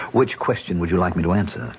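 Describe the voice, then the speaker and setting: A man's voice: low-pitched, moderate pace, natural voice. An actor playing a haunted, bitter male actor who talks in a sardonic, defensive way. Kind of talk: 1970s radio drama acting. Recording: dull old radio broadcast with faint hiss.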